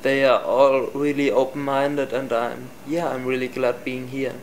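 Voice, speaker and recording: A young man from Germany with a German accent, answering interview questions in halting English. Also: mid-range voice, little pitch movement, slight background noise, low-quality recording. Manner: halting, searching for words in English.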